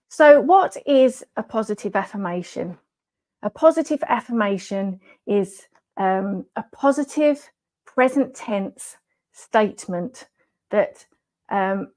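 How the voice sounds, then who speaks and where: A middle-aged woman coaching in a chatty pre-recorded live video, nasal from a head cold and using lots of fillers. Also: medium pitch. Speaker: wellness coach.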